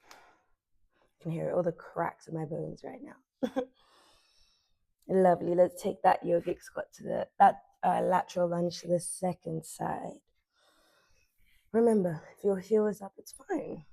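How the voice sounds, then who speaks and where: A woman in her twenties guiding a morning stretching class, cueing softly and unhurriedly as she moves. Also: lowish voice, unhurried, gravelly, long pauses, dry recording. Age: 20s. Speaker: yoga instructor. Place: sunlit living room with large windows.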